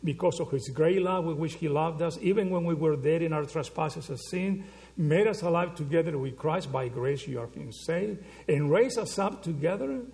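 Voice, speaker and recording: Man, preacher, gravelly voice, slightly high pitch, rhetorical questions, frequent check-ins with listeners. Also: normal speed, a little mellow. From Argentina. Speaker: pastor. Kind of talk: sermon.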